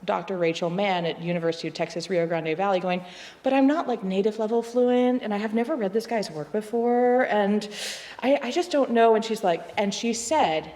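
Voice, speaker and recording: A woman's voice: medium pitch, moderate pace, mellow, long reverb tail. A woman on a panel speaking in a chatty, self-deprecating way, slipping jokes into her answer.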